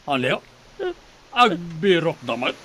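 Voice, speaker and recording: A man's voice: a little high, animated, slow pace, bright, forceful tone, husky, exaggerated voice. A man playing a domineering rich father in an audio drama, with a blustering, commanding manner. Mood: grudging, commanding. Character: domineering rich father, a gruff island boss.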